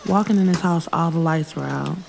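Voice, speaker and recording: A woman's voice: low voice, fairly quick, creaky, darkish tone, a little hiss or hum, rough recording. A woman narrating first-person urban fiction in a casual, frank, streetwise way. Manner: frank, streetwise delivery with swearing. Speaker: audiobook narrator.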